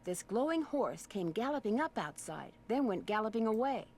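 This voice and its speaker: A woman's voice: a little high, very animated, normal speed, a little mellow, a little hiss or hum. An actress playing a polite, inquisitive young female detective in a video game, speaking earnestly.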